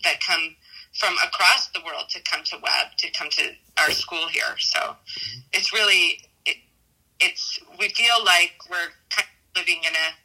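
A middle-aged American woman giving reflective interview answers as an educator, haltingly, often repeating and correcting herself. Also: low voice, sharp tone, low-quality recording. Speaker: math teacher and school dean.

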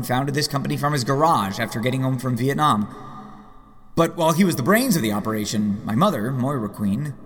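A man's voice: medium pitch, a bit bright, lightly acted. An actor playing a rich, sardonic yet earnest man in his late thirties in an audio drama. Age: 30s. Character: rich, sardonic, earnest middle-aged male vigilante heir. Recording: long hall reverb, faint music behind.

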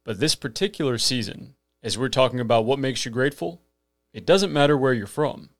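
This is a millennial man hosting his own podcast, speaking in an earnest, scripted way. His voice sits at an ordinary middle pitch. The sound is close and dry, with no room around it. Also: brisk, creaky, no echo. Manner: earnest, rhetorical, emphatic lists. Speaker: podcast host.

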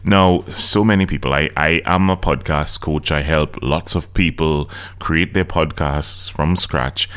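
A middle-aged person with a Trinidadian accent, hosting a solo podcast and coaching listeners in an earnest, direct way. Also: male voice, rumbling low, a little slow, few pauses, a bit bright, clean tone. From Trinidad and Tobago.

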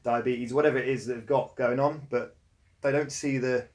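A man co-hosting a podcast, easygoing and agreeable, thinking out loud in casual chat. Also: mid-range voice, fairly even intonation, normal speed. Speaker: podcast co-host.

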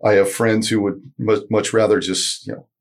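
A man's voice: on the low side, level tone, moderate pace. A middle-aged man, a musician and author, answering interview questions in a blunt, plain-spoken way with occasional swearing.

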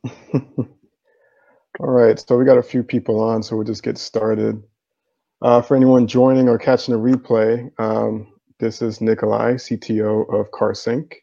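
Casual upbeat guy hosting a livestream, flat low voice, kinda muffled lo-fi sound. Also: unhurried, gravelly. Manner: casual and upbeat, uses um and man. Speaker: host of a live stream interview. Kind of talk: casual live stream interview.

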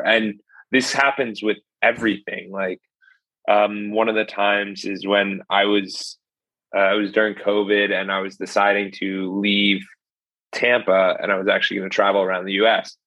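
A man's voice: on the low side, level tone, moderate pace, sharp tone, no room sound, rough recording. A man hosting a podcast, greeting listeners and interviewing a guest in an upbeat, casual way. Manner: upbeat, casual, "what's going on guys". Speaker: podcast host.

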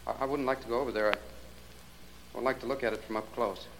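A man's voice: medium pitch, steady tone, fast talker, close to an everyday voice. An actor playing a helpful young male store clerk in a 1940s radio drama, informal and chatty. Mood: uneasy. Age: young adult. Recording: noisy old radio recording.

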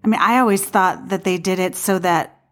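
An actress playing a skeptical, easily flustered woman in a comedic audio play, talking in a halting, conversational, protesting way. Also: fairly low pitch, fairly even intonation, faint music behind, close to an everyday voice. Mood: casual, curious. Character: skeptical, flustered woman who rejects romantic destiny.

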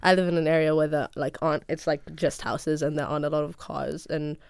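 A woman's voice: sits low, steady tone, fairly quick, gravelly, darkish tone, smooth, no room sound. A young woman from Kenya, a podcast guest, chatting casually with lots of fillers and easy laughter. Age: young adult.

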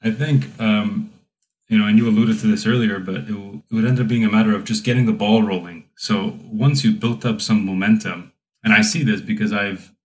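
A young man hosting a relaxed conversation, talking in a thoughtful way with plenty of fillers. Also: on the low side, a little fast, low-quality recording. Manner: reflective, with frequent fillers. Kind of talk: casual conversation.

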